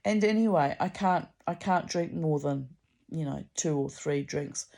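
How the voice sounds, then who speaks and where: A middle-aged woman with a deep, gravelly voice, rambling candidly in a sweary, dramatic, confessional monologue. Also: measured pace, warm tone. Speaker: personal vlogger.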